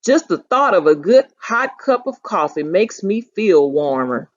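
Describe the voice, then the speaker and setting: A woman reading the lines of a lively, well-travelled old seaman in a storybook, with a reflective storytelling manner. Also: mid-range voice, unhurried, muffled recording. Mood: content.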